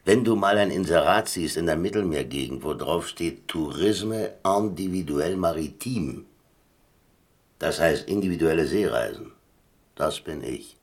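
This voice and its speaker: An actor playing a laconic German ex-prisoner and adventurer, talking in a dry, colloquial, musing way in a radio drama. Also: male voice, deep voice, lively intonation, a little slow. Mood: wry. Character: laconic, wry German ex-prisoner and adventurer telling his story. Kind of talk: radio drama acting and first-person narration.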